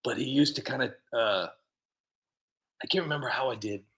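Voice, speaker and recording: A man's voice: mid-range voice, normal speed, a bit bright. A man hosting a comedy livestream, chatting casually and rambling with lots of fillers and restarts. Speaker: comedy channel host.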